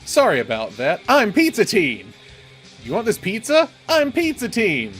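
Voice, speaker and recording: A man's voice: sits high, huge pitch swings, a little slow, fairly bright tone, faint music behind, voice pushed up, heavily acted. A man voicing a laid-back teenage pizza delivery guy, slangy and awkwardly casual, in a game.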